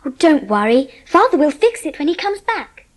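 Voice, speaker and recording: A woman playing a bright, chatty schoolgirl in an audio drama. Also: high voice, sing-song, moderate pace. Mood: matter-of-fact.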